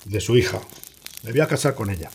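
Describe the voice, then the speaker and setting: A man's voice: fairly low pitch, expressive, fairly quick, darkish tone. An actor playing a plain-spoken, stubborn and brooding countryman in a radio drama. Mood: curt, determined. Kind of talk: radio play acting and first-person narration.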